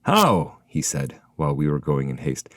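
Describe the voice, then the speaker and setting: A man's voice: very deep voice, level tone, a little fast, warm tone, dry recording, studio quality. A man giving a scripted literary reading of narrative poetry as its narrator.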